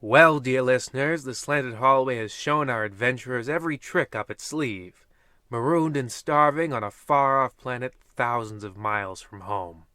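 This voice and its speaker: An ominous old-time radio serial narrator, played by a man. His voice is smooth, resonant and forceful, theatrically addressing listeners in a dry, close recording. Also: medium pitch, normal speed, no echo, close to an everyday voice. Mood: ominous, dramatic. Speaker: announcer.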